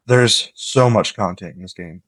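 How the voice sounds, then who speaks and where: A man giving relaxed, rambling commentary on a game he plays, with a slightly nasal voice because he is coming down with a cold. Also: mid-range voice, slightly rough, no echo. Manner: relaxed, rambling, gives tips while playing. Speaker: gameplay commentator. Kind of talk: casual let's-play commentary.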